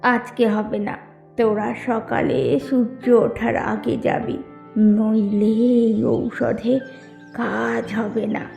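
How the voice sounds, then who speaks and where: A creaky old village witch played by a woman speaks in a dark, mellow and very slow voice, grieving and vengeful. Her lines come deliberately, in fairy-tale cartoon style, with echo around them. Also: on the high side, little pitch movement, full character voice. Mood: scheming, grave. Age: older. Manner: slow, deliberate, halting with repeated words. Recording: echoey studio dub with background music.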